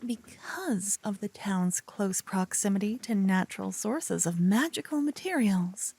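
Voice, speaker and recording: A woman playing a silly, adorable young paladin in an ASMR audio roleplay, teasing and theatrical, hushed in places. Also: medium pitch, gravelly, husky, full character voice. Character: adorable silly young female paladin, bossy, smitten, playful know-it-all.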